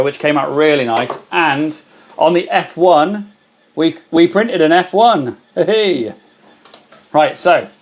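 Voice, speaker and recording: Host: hoarse man, slightly high voice, chatty and friendly with viewers, casual live-stream energy. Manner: chatty, greets viewers by name. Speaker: livestream host.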